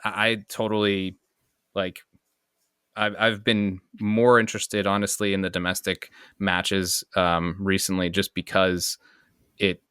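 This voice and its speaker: Low, clear-voiced American man hosting a podcast. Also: flat delivery, a little slow, sharp tone, dry recording, studio quality. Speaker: podcast host. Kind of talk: casual conversational interview.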